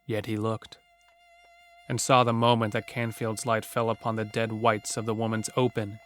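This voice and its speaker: A man's voice: on the low side, little pitch movement, normal speed, fairly bright tone. Male horror story narrator over music. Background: music under the narration.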